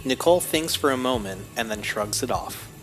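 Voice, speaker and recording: A man's voice: mid-range voice, expressive, normal speed. A man reading scripted scene narration for an audio drama, describing the action as narrator. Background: music.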